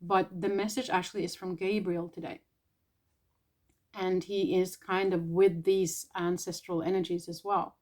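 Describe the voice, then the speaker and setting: Soft-spoken woman, tentative. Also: lowish voice, flat delivery. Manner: soft, tentative, pausing often. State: irritated throat, clearing it. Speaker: spiritual channeler. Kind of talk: casual spiritual vlog.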